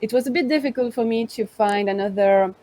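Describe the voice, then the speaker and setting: A woman's voice: a little high, a little fast, dark tone, no room sound. A young French woman with a Normandy accent, chatting casually as an interviewee on a video call. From France. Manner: chatty, self-introducing with fillers.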